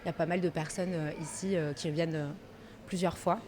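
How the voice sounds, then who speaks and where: A woman's voice: on the low side, steady tone, fast, crowd noise. A woman in her thirties from Marseille, a pastry workshop manager, talking easily and a little hesitantly in a casual interview. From Marseille, France. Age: 30s. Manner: easygoing, hesitant, thinks aloud.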